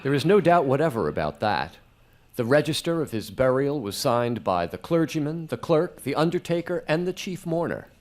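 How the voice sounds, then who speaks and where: A man acts as a theatrical male storyteller for a Victorian ghost tale on live radio drama. His pitch swings widely and animatedly, and each phrase is set down deliberately. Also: sing-song, a little hiss or hum, close to an everyday voice. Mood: grave, wry. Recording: live stage performance recording.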